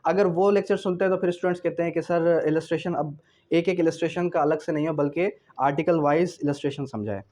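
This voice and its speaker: A man's voice: fairly high pitch, darkish tone. A man with a Pakistani accent giving an explanatory law lecture, mixing in English and asking questions that he then answers himself. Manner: didactic, asks and answers own questions, slows to read out clauses.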